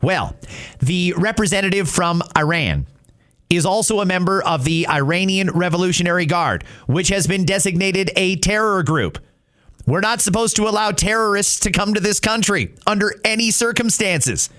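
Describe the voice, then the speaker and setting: Male talk host, high-pitched, indignant, firing off rhetorical questions. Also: moderate pace, a bit bright. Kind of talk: political commentary.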